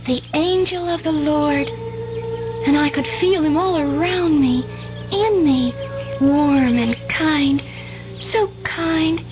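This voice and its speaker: A woman's voice: high voice, slow. An actress playing an earnest, dreamy little girl in a 1970s radio drama, folksy and full of childlike wonder. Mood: wonderstruck, dreamy. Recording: muffled 1970s radio recording, music behind.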